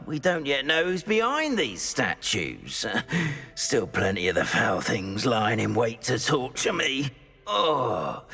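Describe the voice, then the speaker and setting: A man's voice: fairly high pitch, pushed and bright, big-room sound, full character voice. A man playing an anxious, fussy wizard school caretaker in a video game, speaking in a dramatic, hesitant, florid way. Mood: relieved, fretful. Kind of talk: video game character acting.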